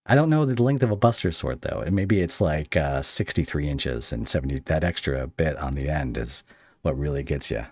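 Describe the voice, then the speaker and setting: A man's voice: extremely low pitch, lively intonation, normal speed, a little mellow, slightly rough, no echo. A man hosting a casual interview, talking in a rambling, stop-start way. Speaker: podcast host interviewing a guest.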